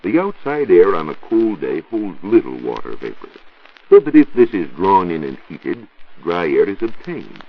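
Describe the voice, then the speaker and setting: A man with an American accent explaining science on a scripted 1940s radio show, in a didactic, deliberate and emphatic way. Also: sits low, big pitch swings, husky. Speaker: science reporter answering listener questions. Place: radio studio. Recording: muffled, noisy 1940s radio recording.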